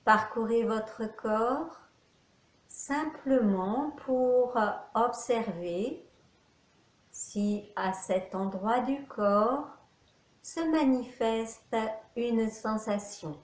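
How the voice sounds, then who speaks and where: Woman, yoga nidra teacher, extremely slow pace, slightly high pitch, guided meditation, measured phrases. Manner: measured, instructional phrasing with pauses. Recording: echoey room.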